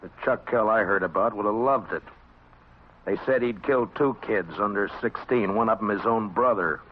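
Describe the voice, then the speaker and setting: An actor playing a tough, weary frontier marshal in a 1950s western radio drama, speaking in a terse, deadpan way. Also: male voice, on the low side, normal speed, a little hiss or hum, natural voice. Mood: cold, steely. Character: tough, terse frontier lawman, adult man, steely and weary. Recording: dull old radio recording with music.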